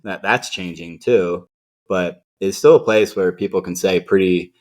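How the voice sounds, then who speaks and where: A man's voice: sits low, measured pace, a little mellow. A man who is a podcast guest, talking frankly and bluntly, swearing casually. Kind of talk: casual conversation.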